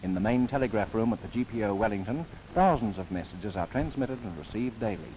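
A man's voice: on the low side, brisk, warm tone, low-quality recording. A man narrating a 1930s government documentary film, with playful asides. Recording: old 1939 film soundtrack with faint hiss.

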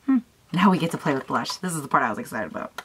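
A raspy young woman in her twenties chats her way through a makeup tutorial in a small room at home, gushing over colors and teasing herself. Her voice sits a little low, and a faint hiss runs under her close camera mic. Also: animated, fast. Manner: gushing and chatty, talks to herself. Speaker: beauty vlogger. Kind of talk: casual makeup tutorial chat.

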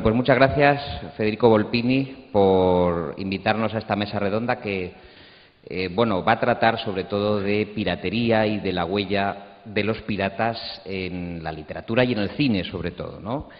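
A middle-aged man with a Castilian Spanish accent, moderating a panel and introducing its speakers into a handheld microphone on an auditorium stage. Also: lowish voice. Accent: Castilian Spanish from Spain. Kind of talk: introducing panelists at a round table. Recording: muffled, reverberant auditorium recording.

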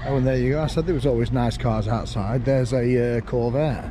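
A young man giving a relaxed, chatty walking tour outdoors, pointing things out as he goes, recorded on a handheld camera. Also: mid-range voice, a little fast, noisy background. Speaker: vlogger. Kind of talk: casual walking tour commentary. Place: outdoors walking around a lakeside town.

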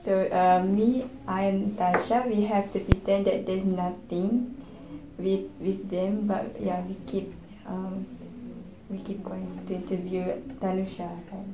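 Young Malaysian woman, slow flat talk. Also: medium pitch. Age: young adult. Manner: halting, filler-heavy, tag questions. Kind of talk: student group reflection discussion. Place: indoor meeting room at a table. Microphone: far camera. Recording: echoey room with background noise.

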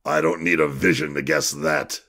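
A man playing a gruff, wary, sarcastic fellow in an audio drama, blunt and grumbling, with a gravelly, husky voice. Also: low-pitched, very animated, normal speed, pushed and bright, full character voice. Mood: wary, sardonic.

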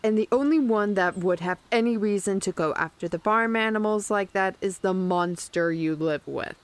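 A woman playing a hostile, stern townswoman in a fantasy roleplay audio drama, deliberate and accusatory. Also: medium pitch, close to an everyday voice. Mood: stern accusation.

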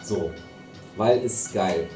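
A man's voice: low-pitched, drawn-out words, mellow, echoey room, distant mic, faint music behind. A man in his thirties co-hosting a casual chat, rambling enthusiastically and full of slang. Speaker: co-host. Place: small hobby workshop indoors.

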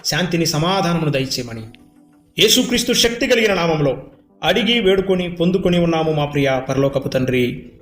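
Male preacher, high voice, emphatic repeated exhortations, echoey sound over music. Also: expressive, fairly quick, a bit bright. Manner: emphatic, repetitive exhortation with rhetorical questions. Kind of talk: sermon and prayer.

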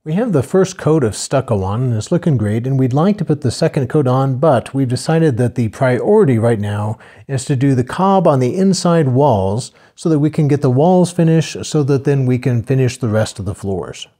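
An older man calmly narrating his own building project in a plain, matter-of-fact voice-over. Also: medium pitch, moderate pace, hardly any pauses. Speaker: homesteader narrating a build video.

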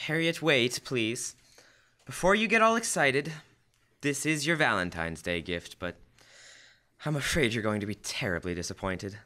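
A man's voice: mid-range voice, animated, moderate pace, pushed and bright. A young man playing an earnest, flustered, apologetic husband in old-time radio comedy. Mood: apologetic, dejected. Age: teens or young adult. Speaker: high school student actor. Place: school library room. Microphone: stand microphone.

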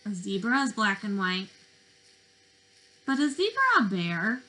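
A young adult woman reading interactively to small children as a teacher, expressive and sing-song, with questions and pauses. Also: mid-range voice, poor audio. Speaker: speech-language pathologist presenting to preschoolers. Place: bedroom, indoors.

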